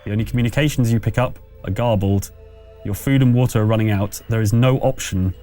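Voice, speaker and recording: A man's voice: lowish voice, fairly quick, mellow, faint music behind. A man narrating a tabletop roleplaying game as game master, in a conversational storytelling manner. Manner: conversational scene-setting storyteller.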